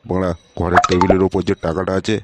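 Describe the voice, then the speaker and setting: Man voicing a fast, cursing cartoon father. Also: low-pitched, flat delivery, music in the background, lightly acted. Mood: angry grumbling. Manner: scolding, cursing, exasperated. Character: irritable, foul-mouthed, cash-strapped village father. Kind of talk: comedy cartoon dialogue. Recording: loud background noise.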